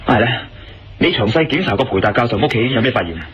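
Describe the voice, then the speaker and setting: A man's voice: mid-range voice, lively intonation, quick pace, fairly bright tone, husky. A man with a Hong Kong Cantonese accent playing a persistent, probing investigator in a radio drama. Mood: pondering. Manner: probing, persuasive. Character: male investigator, persistent, reasoning, questioning a suspect. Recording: lo-fi radio sound with music behind.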